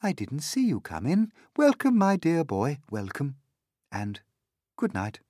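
A man's voice: fairly high pitch, huge pitch swings, unhurried, warm tone, no room sound, studio quality, voice pushed up, exaggerated voice. Male reader as a prim, sleepy female glowworm, genteel and lilting. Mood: polite, drowsy.

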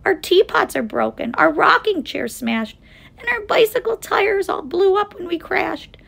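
A woman's voice: very high voice, animated, unhurried, gravelly, fairly bright tone, pitched above the performer's own voice, heavily acted. A woman voicing a tiny, frightened male mayor of very small people in a storybook, polite and pleading. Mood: shaken, pleading.

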